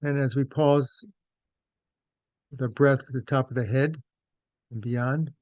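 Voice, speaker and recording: A man leading a guided meditation as instructor, speaking in measured, gentle phrases with pauses. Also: medium pitch, fairly even intonation, no echo, dull audio.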